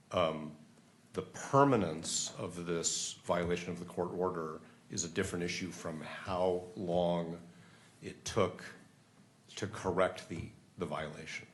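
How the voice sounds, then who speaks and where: A man speaking as a defense attorney in court, arguing formally in a firm, deliberate way. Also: sits low. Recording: clear background room noise.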